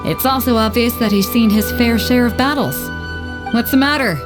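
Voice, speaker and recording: A woman's voice: a little high, moderate pace, music in the background, close to an everyday voice. A woman voicing a confident female ship captain who speaks in a bold, teasing way. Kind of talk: video game voice acting.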